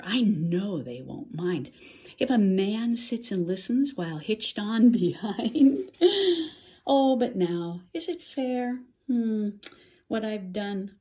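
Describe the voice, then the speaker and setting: An older woman reads a rhyming children's story aloud in an expressive, theatrical way, with musing exclamations and dramatic pauses. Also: on the low side. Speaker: picture-book reader.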